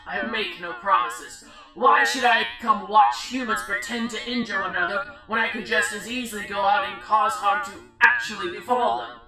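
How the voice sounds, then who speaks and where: A woman voicing a haughty, imperious immortal goddess of the void in a game, speaking formally and disdainfully with a creaky voice. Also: on the high side, steady tone, moderate pace, poor audio. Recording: loud music under the voice.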